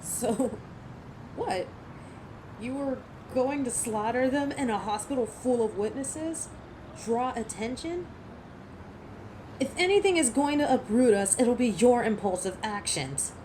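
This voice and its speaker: A woman's voice: a little high, expressive, unhurried, a little mellow, slight background noise, low-quality recording. A woman playing a defiant, hot-tempered, sarcastic female werewolf who protects her turf in an audio drama. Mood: challenging. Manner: sardonic, taunting. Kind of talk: dramatic audio roleplay acting.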